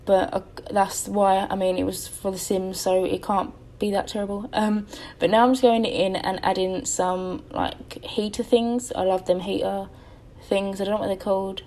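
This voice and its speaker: A young woman chats her way through a voice-over, her voice tired and faintly crackly. She rambles and stops often to correct herself, with plenty of "um" between thoughts. Her pitch sits right in the middle for a woman. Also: flat delivery, moderate pace, darkish tone. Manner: rambling, chatty, self-correcting with many ums. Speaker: game building YouTuber. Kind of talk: casual voice-over over gameplay.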